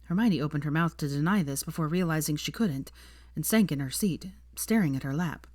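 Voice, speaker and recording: A woman's voice: low-pitched, brisk, a little mellow, no room sound, clean studio sound. A woman reading scripted fiction aloud as an audiobook narrator.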